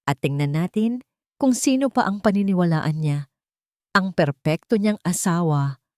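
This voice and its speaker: A woman's voice: mid-range voice, darkish tone, dry recording, studio quality, close to an everyday voice. Woman acting a menacing, scheming daughter-in-law. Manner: commanding, menacing. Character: scheming, threatening daughter-in-law blackmailing her mother-in-law.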